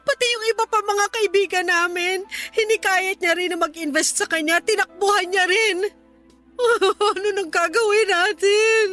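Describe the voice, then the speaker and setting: A sorry, loving mom in a radio drama, high pleading voice, music playing under it. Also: female voice, fairly even intonation, moderate pace, pushed and bright. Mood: distraught. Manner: emotional, pleading. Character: remorseful, affectionate middle-aged mother, former compulsive shopper. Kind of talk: Tagalog radio drama acting.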